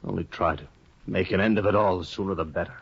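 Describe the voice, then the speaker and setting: Eilert Lovborg, a ruined scholar. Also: male voice, low voice, steady tone, quick pace, creaky, slightly rough, full character voice. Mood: despairing. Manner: brooding, bitter, swings into anguished outbursts. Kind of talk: 1950s radio drama acting. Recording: dull 1950 radio broadcast.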